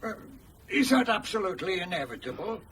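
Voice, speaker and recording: A man's voice: high voice, very animated, brisk, distant mic, slight background noise. A man acting in a radio drama as a self-important, fussy travelling salesman being interviewed, talking garrulously.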